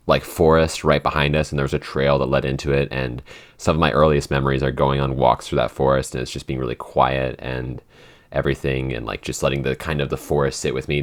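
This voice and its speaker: Very low-pitched man, halting casual podcast co-host, filler-heavy. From Pacific Northwest, USA. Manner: halting, many "like" fillers, laughs between phrases. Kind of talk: casual chat.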